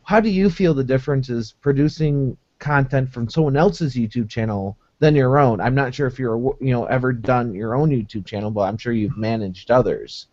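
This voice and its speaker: A man's voice: mid-range voice, moderate pace, hardly any pauses, a little mellow. A man hosting a casual interview over a video call, chatting through a built-in webcam microphone. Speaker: host, interviewer. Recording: dull lo-fi web video call.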